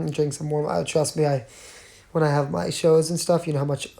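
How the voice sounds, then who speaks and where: A tired woman with a raspy voice, chatting casually on a live stream with her fans, her talk full of fillers and little laughs. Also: low-pitched, steady tone, normal speed, warm tone, faint music behind. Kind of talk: casual live-stream chat answering viewer questions. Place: bedroom.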